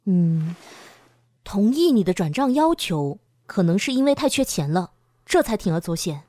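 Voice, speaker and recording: Woman acting composed female cop in audio drama, official but lively intonation, dry sound. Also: mid-range voice, dry recording. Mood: serious, advising. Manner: official, deliberate.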